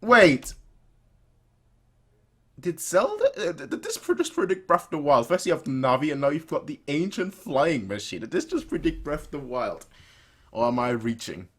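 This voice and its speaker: A man's voice: on the high side, wildly swooping pitch, moderate pace, husky. A man hosting a reaction video with casual commentary, stammering and repeating phrases for emphasis. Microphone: desktop webcam setup.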